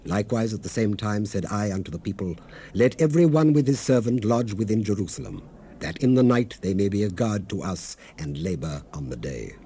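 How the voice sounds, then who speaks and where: On a faintly hissy 1970s cassette, a male actor plays an earnest male leader of scripture. His deep, dark-toned voice moves dramatically up and down as he narrates his people's struggle with solemn, oratorical devotion. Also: expressive, fairly quick, close to an everyday voice. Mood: rousing, commanding.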